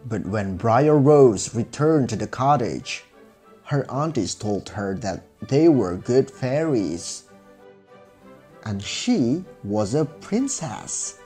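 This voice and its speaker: A man's voice: medium pitch, big pitch swings, slow pace, warm tone, soft background music. A man narrating a scripted fairy tale for children as a storyteller.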